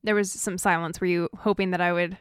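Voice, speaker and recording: Smooth-voiced laid-back woman co-host. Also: on the low side, little pitch movement, fairly quick, dry recording, studio quality. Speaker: podcast co-host. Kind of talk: casual political chat.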